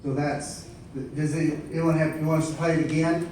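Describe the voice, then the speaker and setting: A man chairing a meeting, moderating informally and explaining himself in a halting way. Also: fairly high pitch, flat delivery, darkish tone. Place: large indoor meeting room. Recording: very echoey room, distant, faint noise.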